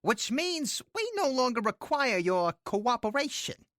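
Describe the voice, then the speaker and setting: A woman's voice: a little high, sing-song, a little slow, dry recording, studio quality. A woman playing a sly, exasperated male orc gang boss in an audio drama, drawling with sardonic condescension. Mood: gloating.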